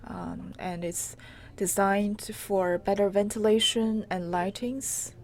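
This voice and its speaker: Slow, halting woman giving a guided tour. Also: medium pitch, steady tone. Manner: halting, hesitant delivery with pauses mid-phrase. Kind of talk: unscripted live walk-through narration. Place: on location inside an old house. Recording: clearly noisy on-location live recording.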